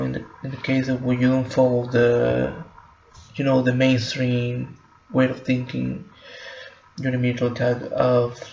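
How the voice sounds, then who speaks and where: A man talks to his camera, groping for words and circling back over his phrases. His voice is gravelly and keeps to a narrow pitch. The recording is muffled and echoey, like a bare room at home. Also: a little mellow, slight background noise. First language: Spanish. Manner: halting, many fillers and restarts. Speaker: vlogger. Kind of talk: personal reflective monologue.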